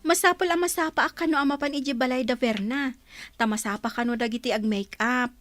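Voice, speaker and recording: A woman's voice: high voice, steady tone, fairly quick, fairly bright tone, no room sound. A woman playing a dutiful, conciliatory college-age daughter in a radio-style drama.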